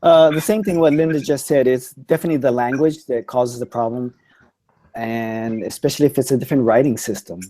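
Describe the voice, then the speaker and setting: Mellow-voiced man, hesitant guest. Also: mid-range voice, steady tone. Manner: hesitant, many ums and pauses. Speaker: panel guest. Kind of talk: casual panel discussion.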